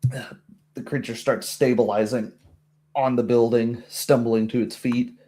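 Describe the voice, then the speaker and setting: A man's voice: medium pitch, flat delivery, a little slow, warm tone. A man narrating a tabletop roleplay as game master, with dramatic, descriptive storytelling.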